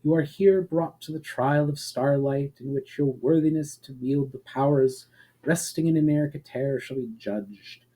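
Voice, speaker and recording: A man voicing a calm, regretful elf woman with a formal, measured way of speaking in a fantasy story reading. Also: on the high side, husky, rough recording. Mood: solemn, formal.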